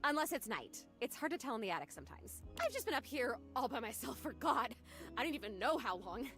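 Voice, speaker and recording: A giddy, excitable ghost girl from a video game. Her gushing voice is very high and bright, leaping around over faint music. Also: fairly quick. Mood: giddy, eager. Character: giddy, lonely young female ghost from the eighties. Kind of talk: video game character acting.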